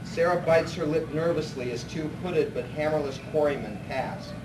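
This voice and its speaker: A man's voice: a little high, normal speed, close to an everyday voice. A man narrating a live radio play as a plain-spoken storyteller. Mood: storytelling. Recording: echoey noisy hall, distant mic.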